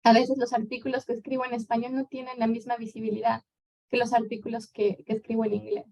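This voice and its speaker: A woman in her thirties from Mexico with a Mexican accent, answering questions as a guest lecturer in a relaxed, conversational way. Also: a little high, quick pace, low-quality recording. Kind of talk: conference Q&A answer.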